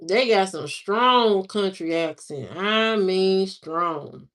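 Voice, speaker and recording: A woman hosting a livestream, chatting casually with viewers in a slow, drawling, musing way. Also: mid-range voice, lively intonation, a bit bright. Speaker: livestream host. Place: lives in Indiana, not from there.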